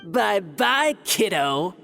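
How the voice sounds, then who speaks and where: A cocky male bandit for a video game. He is smug and condescending, with a gravelly edge and lively, mocking swings in pitch. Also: extremely slow, faint music behind, heavily acted. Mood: smug taunting. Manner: taunting, condescending. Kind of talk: animated video game voice acting.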